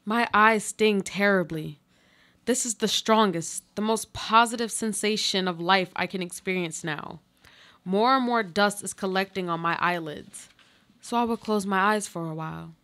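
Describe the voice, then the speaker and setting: A woman's voice: mid-range voice, moderate pace, a bit bright, close to an everyday voice. An actress playing a trapped, injured and resigned woman in a literary radio play, speaking with earnest, poetic delivery. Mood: pained, resigned.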